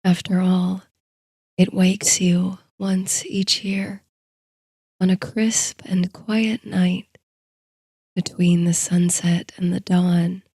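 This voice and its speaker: A woman narrates a bedtime story in a hushed, soothing voice with breathy softness. Her delivery is very slow and even, with little rise or fall in pitch. The tone is dark and mellow, suited to guided meditation. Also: dry recording. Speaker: host and narrator of a sleep story series.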